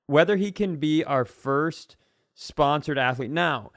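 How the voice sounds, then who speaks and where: Higher-voiced man bantering, close dry sound. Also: takes it slowly, no echo. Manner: rambling, repeats himself for emphasis. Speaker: podcast co-host. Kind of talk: casual comedic banter.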